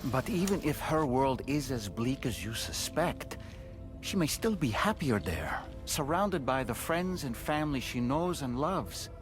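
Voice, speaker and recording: A man's voice: medium pitch, big pitch swings, measured pace, faint music behind. An actor playing a thoughtful male crew member who speaks carefully and asks questions in a game cutscene.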